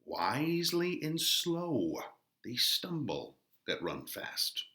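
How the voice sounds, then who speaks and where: A man's voice: on the high side, sing-song, sharp tone, roomy sound, full character voice. Man performing an old friar, theatrical and swooping. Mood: cautioning. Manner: theatrical, emphatic, drawn-out phrasing. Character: old friar, cautious, chiding confidant of a young man. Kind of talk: dramatic Shakespeare reading.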